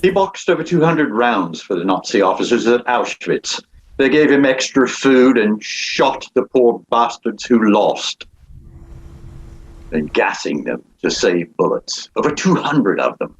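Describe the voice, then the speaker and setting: An actor playing a cruel, cold aristocratic Duke at a script table read, menacing and measured. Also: male voice, medium pitch, big pitch swings, husky, dull audio. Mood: grim.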